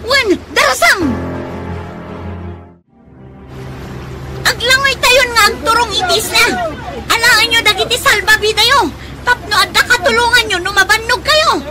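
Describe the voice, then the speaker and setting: A young woman in peril at sea in a radio drama. Her voice is extremely high and urgent. Loud ambient noise and a busy background surround her. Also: normal speed, over a noisy crowd. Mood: urgent, commanding. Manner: urgent, exclamatory. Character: frantic young woman shipwrecked at sea, fighting to survive. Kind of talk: Ilocano radio drama acting. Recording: noisy, with busy outdoor engine-like ambience.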